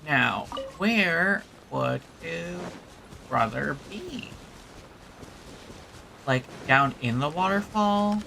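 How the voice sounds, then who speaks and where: A man giving casual live commentary as a game streamer, reacting with drawn-out interjections. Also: on the high side, lively intonation, creaky. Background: game music.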